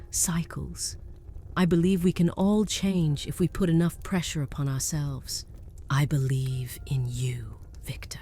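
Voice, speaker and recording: A woman's voice: low voice, dark tone, slight background noise. An actress playing a fierce, defiant young human woman who speaks firmly and earnestly, often half-whispered. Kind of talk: audio drama roleplay.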